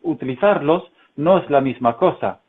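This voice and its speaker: A man's voice: a little high, lively intonation, normal speed. A man who is a non-native Spanish speaker with a Castilian accent, talking hesitantly with many fillers as an interview guest on a video call. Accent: Castilian Spanish, learned as a second language. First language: German. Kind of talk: conversational interview. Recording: tinny phone-like call audio.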